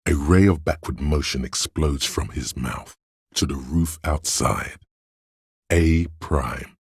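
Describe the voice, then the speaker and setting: Very deep, gravelly analytical inner voice. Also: male voice, slow, no room sound, clean studio sound, exaggerated voice. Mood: measured, analytical. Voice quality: gravelly, husky bass. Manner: precise, clinical, dryly observant. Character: analytical inner voice of a detective's visual reasoning. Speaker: game voice actor. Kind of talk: measured, deliberate game narration.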